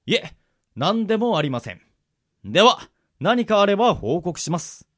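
A man playing an easygoing middle-aged swordsman mentor in a radio drama, moving between polite formality and gruff casual talk. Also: high-pitched, very animated, normal speed, no echo, clean studio sound. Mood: composed, courteous. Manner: switches between smooth polite formality and rough casual drawl. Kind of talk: anime-style radio drama acting.

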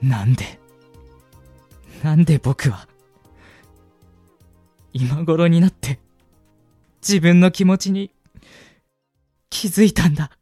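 A young man playing a gentle, sentimental university student in a radio drama, with an earnest, polite manner. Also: a little high, animated, takes it slowly, pauses often, breathy edge, dry recording, studio quality, full character voice. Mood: heartbroken. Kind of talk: radio drama acting with narration. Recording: music bed under the voice.

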